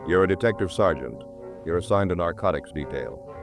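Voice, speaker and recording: Male 1950s American radio announcer, very low voice, formal and emphatic, swooping intonation, music behind. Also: lively intonation, a little fast. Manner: formal, dramatic, emphatic delivery. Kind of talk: 1950s American radio crime drama announcing and sponsor reads. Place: radio studio.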